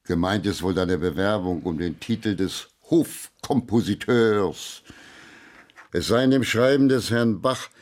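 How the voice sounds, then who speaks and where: A man acts a blunt musician in a radio play, passing on gossip with a dry, pointed delivery. The voice sits fairly low, and he is dismissive and impatient with those around him. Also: measured pace, a little mellow, close to an everyday voice. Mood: dry, needling. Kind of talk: German radio drama acting.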